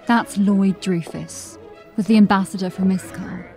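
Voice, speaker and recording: A woman's voice: mid-range voice, unhurried, soft background music, lightly acted. A woman playing a dry, watchful constable in a fantasy audio drama, with understated delivery. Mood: dry, observant. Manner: deadpan, understated.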